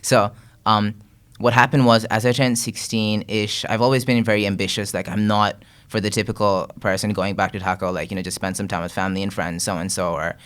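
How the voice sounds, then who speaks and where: A young man from Bangladesh speaks as an interview guest, his voice sitting very low. He talks in an earnest, reflective way, often pausing on fillers and restarting his sentences. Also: flat delivery, a bit bright. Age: young adult. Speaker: guest content creator. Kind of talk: casual interview talk.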